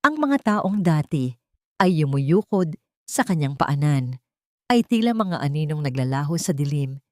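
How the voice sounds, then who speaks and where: Low-voiced woman narrating a drama. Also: very animated, a little mellow, dry recording, clean studio sound. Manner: measured, dramatic storytelling delivery. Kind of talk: scripted dramatic story narration.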